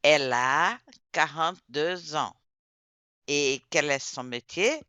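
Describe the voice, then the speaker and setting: Slow, patient male language teacher. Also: fairly high pitch, pushed and bright, clear, resonant tone, no room sound. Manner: slow, patient, repeats words to drill students. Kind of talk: classroom instruction.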